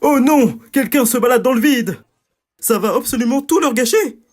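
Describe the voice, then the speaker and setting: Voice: mid-range voice, animated, moderate pace, soft background music, natural voice. An actor voicing a theatrical, bombastic male ringmaster of a digital circus in a French-language cartoon dub, with grandiose showman delivery. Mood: bombastic, alarmed. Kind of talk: animated series dub.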